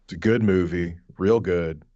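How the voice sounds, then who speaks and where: Low-voiced male co-host, blunt casual chat, close dry recording. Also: moderate pace, warm tone, no echo, dull audio. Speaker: podcast co-host.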